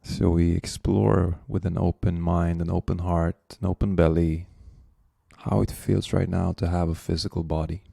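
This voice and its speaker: A man leading a guided meditation as the guide, speaking gently and unhurriedly with long pauses. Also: deep voice, little pitch movement, vocal fry, breathy edge, no room sound, clean studio sound.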